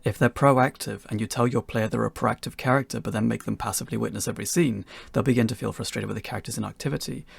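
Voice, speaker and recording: Gravelly, husky man presenting a tutorial. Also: medium pitch, steady tone, quick pace, few pauses, dry recording. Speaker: presenter of a beginners' tutorial talk. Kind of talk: scripted instructional narration.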